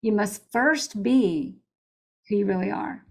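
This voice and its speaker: A woman's voice: medium pitch, lively intonation, takes it slowly, a little mellow. A woman hosting a podcast interview, chatty and upbeat, eager to share favorite quotes and ask her guest questions. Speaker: podcast host. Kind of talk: casual interview chat.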